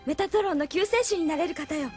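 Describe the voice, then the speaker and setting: Young female crew member: idealistic, earnest, courteous, extremely high register, audio drama. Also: fairly quick. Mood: fervent. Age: young adult. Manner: polite, earnest, fervent. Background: faint music.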